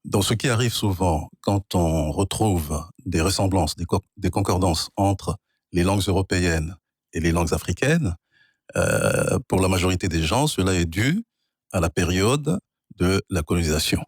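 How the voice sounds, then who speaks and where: Un homme d'origine angolaise, de langue maternelle kikongo, qui explique la linguistique d'un ton posé et professoral. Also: male voice, deep voice, husky, no room sound, clean studio sound. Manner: measured, professorial, spells out words. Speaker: guest, linguist and author. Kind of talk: explanatory interview answers.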